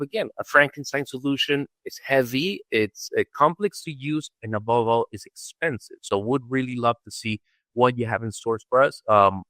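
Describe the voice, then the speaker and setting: A man's voice: mid-range voice, fairly bright tone, dry recording. A man hosting a conversational interview, recapping points and prompting his guest. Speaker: podcast host interviewer.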